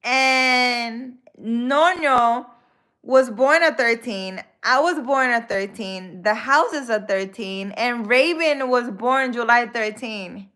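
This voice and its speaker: A woman's voice: on the high side, slow, fairly bright tone. A woman from the Republic, chatting casually with viewers on a live stream, laughing often and slipping in Spanish words. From Dominican Republic. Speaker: live-stream host, spiritual healer.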